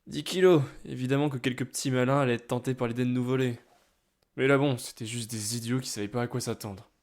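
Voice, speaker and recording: An actor playing a young mafia brother in a French audio drama, mocking and theatrical, menacing yet flippant. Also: male voice, medium pitch, steady tone, fast, close to an everyday voice. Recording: music under the voice.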